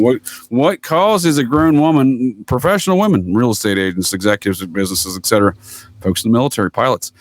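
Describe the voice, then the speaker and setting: A man's voice: fairly low pitch, darkish tone, no echo. A middle-aged man in casual, opinionated podcast conversation, speaking emphatically and rambling, with free swearing. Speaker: podcast guest, retired military master sergeant.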